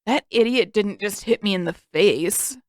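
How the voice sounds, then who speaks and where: A woman's voice: medium pitch, a little slow, no room sound, natural voice. A woman puts on a whiny, self-pitying boy for an audio story. He drags out his complaints, in a dry, close recording. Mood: whining.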